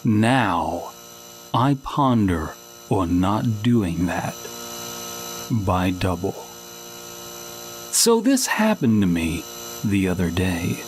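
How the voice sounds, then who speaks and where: A man hosting a podcast, reading a comic first-person horror story in a deadpan manner. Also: deep voice, extremely slow, pauses often, slight background noise. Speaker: podcast host and story reader.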